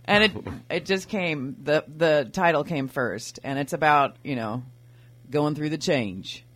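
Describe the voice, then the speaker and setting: Low-voiced woman in her forties. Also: unhurried. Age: 40s. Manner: modest, self-deprecating, chatty. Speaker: band singer, guest.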